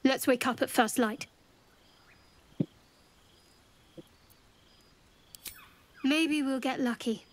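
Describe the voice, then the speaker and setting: A woman's voice: high-pitched, normal speed, close to an everyday voice. An actress playing a guarded, practical young woman adventurer in a video game, speaking in a cool, dry manner. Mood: concerned. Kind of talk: video game character acting.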